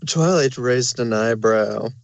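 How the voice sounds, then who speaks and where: A man's voice: medium pitch, slow pace, creaky. A man narrating a scripted story as its narrator.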